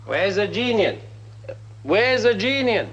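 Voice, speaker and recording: A mocking, unstable scientist in a 1950s film drama. His pitch swings widely as he goads, over a noisy old soundtrack. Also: big pitch swings, takes it slowly, fairly bright tone, highs cut off, heavily acted. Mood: impatient. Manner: sardonic, goading. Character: mocking, unstable renegade scientist working with Soviets.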